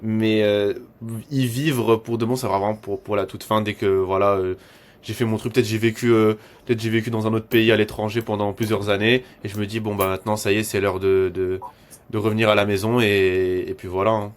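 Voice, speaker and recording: A man's voice: fairly low pitch, level tone, a little fast. A man chatting casually as a coach, often repeating words as he starts his sentences. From born in Morocco, raised in France from six months old. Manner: repetitive, stammering starts with slangy French. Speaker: e-commerce coach hosting a discussion with students. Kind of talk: casual chat.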